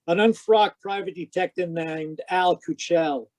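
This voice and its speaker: A man plays a hard-boiled private eye in a vintage-style radio mystery. His voice sits fairly high, and he is wry, persistent and matter-of-fact. The sound is very dry and close. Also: steady tone, unhurried, darkish tone, no echo. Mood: pressing. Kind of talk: old-time radio detective narration and dialogue. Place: close dry sound, remote live performance.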